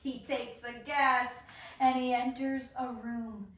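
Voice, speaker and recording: High-voiced woman in a very echoey room. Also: flat delivery, slow pace, a bit bright. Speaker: stage-direction reader. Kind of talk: reading stage directions at an amateur table read. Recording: very echoey room, slightly distant mic.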